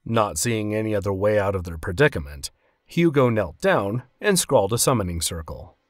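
A man's voice: fairly low pitch, sing-song, normal speed, no room sound, studio quality. A man narrating a scripted fantasy story.

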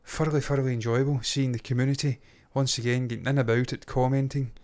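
A man's voice: mid-range voice, level tone, fast, a little mellow. A Scottish man in middle age talks as a reaction show co-host. He is casual and blunt, swears freely, and speaks close to the mic in a small home studio. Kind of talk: casual review chat. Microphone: close microphone on boom arm.

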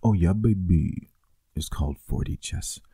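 Guy playing a teasing boyfriend for asmr, super raspy and mellow, close dry sound, affectionate murmuring. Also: low-pitched, a little slow, no echo, full character voice. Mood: playful. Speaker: ASMR roleplay performer. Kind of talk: intimate boyfriend roleplay ASMR, casual chat.

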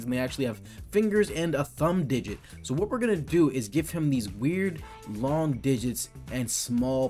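A man's voice: mid-range voice, darkish tone. A young man giving casual, slangy tutorial commentary as a gaming video host. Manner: informal, slangy, explanatory. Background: music.

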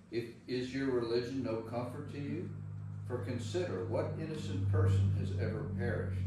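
A man playing a pious friend who gently reproves a sufferer in a measured dramatic scripture reading. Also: fairly low pitch, lightly acted. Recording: very echoey room, distant mic, faint noise.

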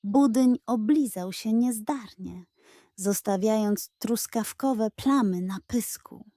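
A woman's voice: a little high, slow pace, mellow, dry recording, studio quality. A woman narrating a scripted children's story expressively as a storyteller.

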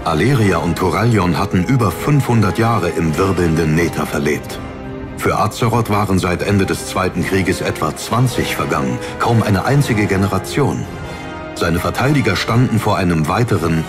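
A man narrating a fantasy audiobook in a measured, serious storytelling manner. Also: low-pitched, lively intonation. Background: music.

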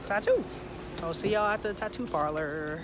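A man's voice: sits high, animated, normal speed. An American man in his twenties chatting casually and in slang as he vlogs into a phone at arm's length. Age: 20s. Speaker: vlogger. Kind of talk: casual vlog chat, slangy. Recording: noisy outdoor, car and store ambience.

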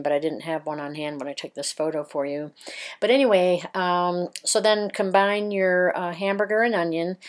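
Chatty low-voiced woman vlogging. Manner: chatty, rambling, with fillers and soft laughs. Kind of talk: casual van vlog and recipe talk. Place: inside a parked passenger van.

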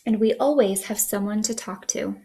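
A woman in her thirties hosting a children's story time, reading aloud in an expressive, sing-song way at home. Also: medium pitch, normal speed, slight background noise. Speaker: children's librarian, storytime host. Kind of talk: picture book read-aloud for young children. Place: small living room indoors.

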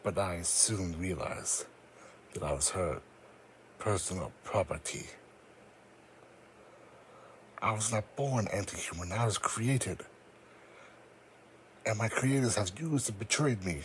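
A man voicing a brooding, proud cloned psychic creature in an audio roleplay, speaking solemnly with dramatic, deliberate pauses. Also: on the low side, a little hiss or hum, lightly acted, low-quality recording. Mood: solemn, bitter. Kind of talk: scripted ASMR audio roleplay monologue.

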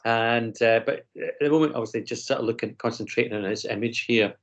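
Male teacher, hesitant and filler-heavy, middle pitch, slightly muffled video call. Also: fairly quick. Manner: hesitant, frequent ums and restarts. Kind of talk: online class discussion.

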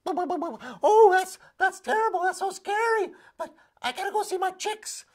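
A man doing a shrill flustered mother hen. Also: lively intonation, a little slow. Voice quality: shrill falsetto, fluttery.

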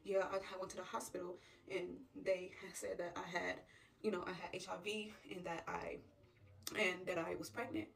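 A woman's voice: on the low side, level tone, moderate pace, vocal fry, fairly bright tone, far from the mic, poor audio. A woman voicing an anxious, weary young woman who is plain-spoken and repeats herself, in a told story. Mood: subdued.

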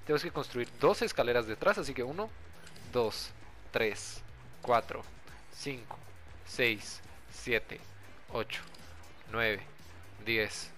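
A man's voice: mid-range voice, a bit bright. A young man giving a casual, friendly gameplay tutorial, talking directly to his viewers in an informal way. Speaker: gaming video creator and host.

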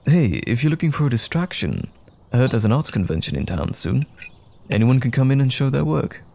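A man playing a loving, caring boyfriend in an intimate comfort audio roleplay, speaking gently and soothingly with affectionate teasing. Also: medium pitch, big pitch swings, fairly quick, creaky. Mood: encouraging.

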